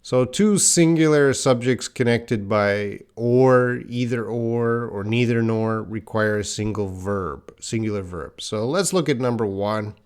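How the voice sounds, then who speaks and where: A slow, unhurried man from Canada teaches English grammar in a live online class. He explains the rules patiently and stops to answer viewers' comments as they come in. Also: medium pitch, a little mellow, clean tone.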